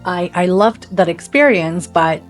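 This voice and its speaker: A woman's voice: on the low side, expressive, unhurried, faint music behind. A woman from Slovakia, a guest in a casual interview, bubbly and laughing often.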